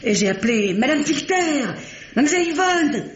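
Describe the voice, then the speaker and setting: An actress playing an earnest, fussy working-class concierge in an old radio drama, chatty, deferential and eager to explain. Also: female voice, sits high, sing-song, a little fast. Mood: earnest recounting. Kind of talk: mid-century French radio drama acting. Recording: dull, roomy, noisy vintage radio recording.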